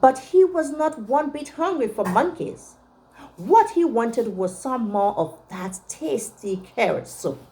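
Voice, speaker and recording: A woman reading a picture book aloud to young children as a teacher, pausing to check in with her listeners. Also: on the high side, darkish tone, low-quality recording.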